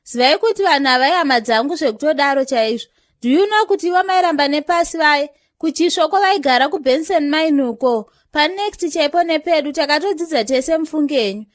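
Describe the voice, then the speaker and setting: A woman's voice: high voice, expressive, fairly quick, dry recording. A woman playing a pushy, hungry young female party guest in a comedy cartoon, insistent and pleading. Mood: protesting.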